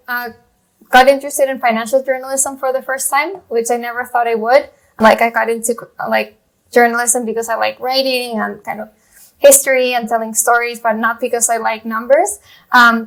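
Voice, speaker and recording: A woman's voice: a little high, a bit bright, rough recording. A woman in her thirties from Chile, a journalist guest telling her story in a rambling way, full of fillers and restarts. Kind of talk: casual interview talk.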